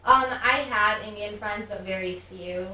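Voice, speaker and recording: Chatty young woman, bright roomy sound. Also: medium pitch, measured pace, far from the mic. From United States. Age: young adult. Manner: chatty, many "like" fillers. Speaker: guest. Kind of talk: casual interview chat. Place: studio with office chairs.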